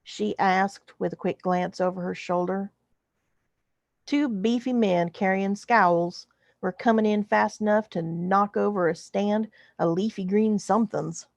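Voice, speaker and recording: Woman putting on a wry alien cowpoke, big fake texas drawl, slow n folksy, telling a sci-fi story. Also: medium pitch, steady tone, creaky, darkish tone, no echo. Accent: exaggerated Texas drawl. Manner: folksy, dry, colloquial. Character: folksy, wry alien drifter narrating space western. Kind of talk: first-person science fiction story narration.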